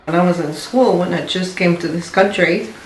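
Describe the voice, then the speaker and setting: A woman in her thirties, interviewed, speaking slowly and deliberately. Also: lowish voice. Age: 30s. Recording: echoey room with light noise.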